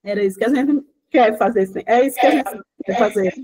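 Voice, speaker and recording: A woman's voice: on the high side, a little fast, warm tone, poor audio. Woman, chatty guest. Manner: chatty, fillers like "né" and "enfim". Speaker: guest speaker. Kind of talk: casual spoken class discussion.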